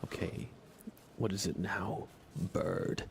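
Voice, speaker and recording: A soft-spoken man doing casual ASMR game commentary on a live stream, often slipping into whispers. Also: deep voice, sing-song, slow. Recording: clear background noise.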